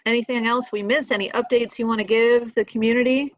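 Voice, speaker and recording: A woman's voice: fairly high pitch, fairly even intonation, a little fast, no room sound, highs cut off. A woman hosting an informal group call in a folksy, encouraging, chatty way. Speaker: host leading the meeting. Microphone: conference call line.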